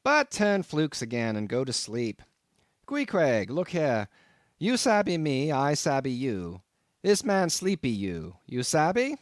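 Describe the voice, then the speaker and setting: A man's voice: fairly high pitch, wildly swooping pitch, unhurried, dry recording, studio quality. A man playing an easygoing, amused innkeeper in a storybook, talking in a folksy, reassuring way. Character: amused easygoing male innkeeper in whaling town.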